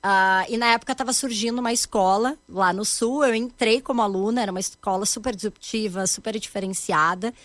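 Animated gaúcha woman with a dry sound. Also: mid-range voice, fairly quick, hardly any pauses, no room sound. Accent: Gaúcho Brazilian Portuguese. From Rio Grande do Sul, Brazil. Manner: animated, emphatic, uses many tag questions. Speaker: guest, corporate education founder. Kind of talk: conversational interview.

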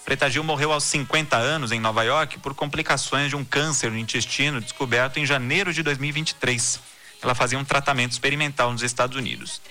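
A man hosting a news podcast from Brazil, reading the day's stories and listener messages in a steady, measured newsreader delivery. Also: mid-range voice, soft background music.

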